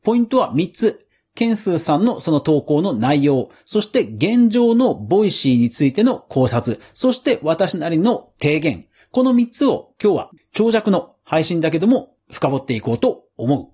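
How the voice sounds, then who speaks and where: A fairly high-pitched male podcast host with a husky edge to his voice. His delivery swings widely up and down as he explains things casually on his own, stressing phrases and pausing deliberately. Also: big pitch swings, dark tone. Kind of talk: casual solo commentary.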